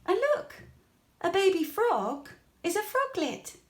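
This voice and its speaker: A woman's voice: extremely high pitch, very animated, slow, pitched above the performer's own voice, heavily acted. A woman voicing a friendly, curious, childlike male dog in a children's bedtime story, inquisitive and pleased with discoveries. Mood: curious, delighted. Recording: echoey room.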